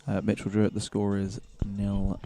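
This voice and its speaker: A man with a soothing voice, commentating a pool match in relaxed, chatty live-stream talk. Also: lowish voice, measured pace, faint music behind. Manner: relaxed, chatty and self-deprecating.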